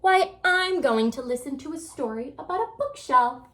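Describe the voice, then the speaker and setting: Woman voicing a shy little bookshelf, gently high. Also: animated, normal speed. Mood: eager. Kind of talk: storybook character voice.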